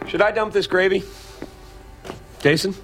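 An actor playing a mild, inquisitive male dinner guest in an audio drama, speaking politely and with quiet probing. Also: high-pitched, unhurried, faint music behind. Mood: helpful. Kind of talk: naturalistic audio drama acting.

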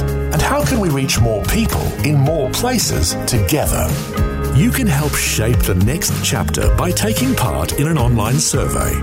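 A man reading a station promo as a radio announcer, upbeat and expressive. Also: medium pitch. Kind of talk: scripted promo read. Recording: promo over loud music.

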